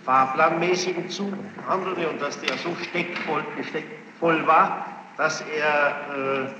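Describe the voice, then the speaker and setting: A muffled, noisy archival recording from a large echoing courtroom. A man with a slightly high voice speaks as a judge questioning a witness. He is formal and insistent, often halting and repeating phrases while he forms his question. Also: fairly even intonation.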